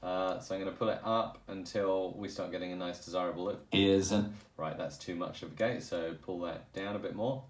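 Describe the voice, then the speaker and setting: A man presenting a casual, hands-on audio software tutorial as a producer. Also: deep voice, steady tone, a little fast, few pauses. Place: indoor room, not a studio. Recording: roomy echo with noise and clicks.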